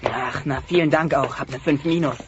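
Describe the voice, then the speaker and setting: A man's voice: a little high, fairly quick, loud music behind the voice, close to an everyday voice. Man playing a grumbling teenage dinosaur son. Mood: sulky. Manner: grumbling, exasperated. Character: sulky teenage dinosaur son, exasperated schoolboy. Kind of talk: German-dubbed comedy radio play acting. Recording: dull, noisy old cassette transfer.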